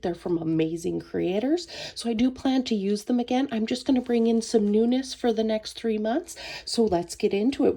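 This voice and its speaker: A woman's voice: medium pitch, gravelly, dark tone, slightly rough. A woman with a cold and a sore throat, chatting casually through a budgeting vlog in a friendly, rambling way. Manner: friendly, rambling, frequent ums. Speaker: budgeting vlogger.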